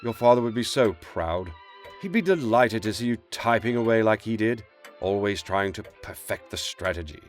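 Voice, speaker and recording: A man's voice: lowish voice, expressive, normal speed, natural voice. Man acting deferential old manservant, lilting delivery. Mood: deferential, affectionate. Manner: polite, formal, deferential. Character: loyal older manservant, deferential and gentle. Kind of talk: audio drama acting. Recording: music underneath.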